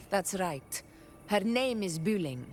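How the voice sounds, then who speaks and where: A woman voicing an assured, gracious leader in an anime-style game, speaking in a measured, formal manner. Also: medium pitch, lively intonation, a little mellow. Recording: game music underneath.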